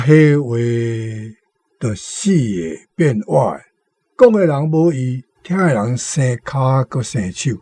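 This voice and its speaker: A Taiwanese man talks casually, explaining things as a guest commentator. His voice is husky and mid-pitched, recorded close and dry. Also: warm tone, no room sound.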